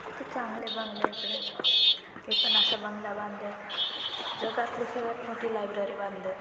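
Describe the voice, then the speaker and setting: A woman's voice: mid-range voice, fairly even intonation, moderate pace, lightly acted. A young woman's grumbling, dreamy inner monologue as acted for an audio story. She plays a student muttering through her commute. The sound is roomy and dull, with heavy noise behind her. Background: loud background noise.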